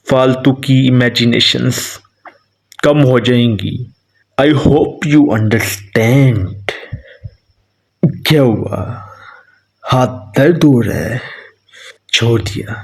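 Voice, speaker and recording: Man playing young vampire king, breathy and husky, extremely unhurried, cold menace, aloof, dark romance audio roleplay. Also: mid-range voice. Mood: cold, scornful. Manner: aloof, condescending, slow deliberate delivery. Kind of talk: dramatic romantic ASMR roleplay acting.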